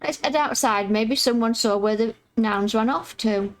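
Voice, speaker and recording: A woman reading the lines of a prim, polite young girl in a video game, with a croaky voice and formal phrasing. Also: fairly high pitch, unhurried, close to an everyday voice. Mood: fretful.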